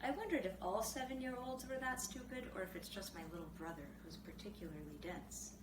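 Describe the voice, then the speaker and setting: A woman reading a children's story aloud in an expressive, animated storybook style. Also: medium pitch, fairly quick. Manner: expressive, acts out the characters' dialogue. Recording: roomy, distant mic, clearly noisy background.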